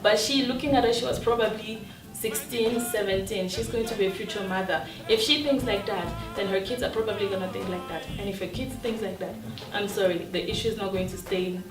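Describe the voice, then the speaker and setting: A woman's voice: mid-range voice, steady tone, fairly quick. A young woman from Mali giving an earnest personal testimony as a youth speaker. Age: young adult. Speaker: youth conference participant. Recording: echoey room, distant mic, music behind. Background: music.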